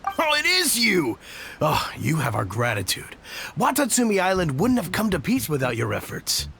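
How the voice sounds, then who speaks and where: An actor playing an eager, admiring, talkative foot soldier in a video game, with an effusive manner. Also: male voice, fairly high pitch, huge pitch swings, normal speed, vocal fry, sharp tone, slight background noise, full character voice. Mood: excited, grateful. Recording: game music underneath.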